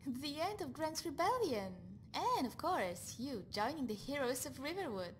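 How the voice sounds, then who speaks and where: A woman voicing a chatty, loyal female companion in a fantasy video game, bubbly, teasing and busily organising, over rumbling noise. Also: big pitch swings, normal speed. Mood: teasing, excited. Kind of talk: video game character dialogue.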